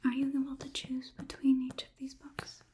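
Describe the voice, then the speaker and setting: A young woman playing a logic-minded robot overlord in an ASMR roleplay, whispering softly in a measured, detached way. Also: sits high, monotone, slight background noise, pitched above the performer's own voice, lightly acted. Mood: detached, analytical. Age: young adult. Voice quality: soft, breathy whisper. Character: clinical, logic-minded female robot overlord examining a human. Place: small indoor room, plain wall.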